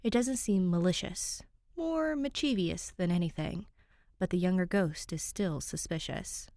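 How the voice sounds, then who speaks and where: A woman's voice: lowish voice, unhurried, a little mellow, dry recording, studio quality. A woman reading a story aloud as a scripted fiction narrator. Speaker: podfic narrator.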